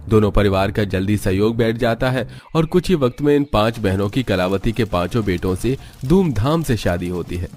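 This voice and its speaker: A man's voice: lowish voice, a little mellow. A man reading scripted narration for a cartoon family story.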